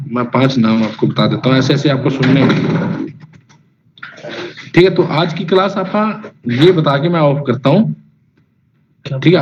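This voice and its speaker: A male singing teacher talks loosely and directly to his online students and breaks into note names now and then. His voice sits at an ordinary middle pitch. The call audio is dull and lo-fi with a faint hiss behind it. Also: a little mellow. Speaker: music teacher. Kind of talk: online singing class lecture.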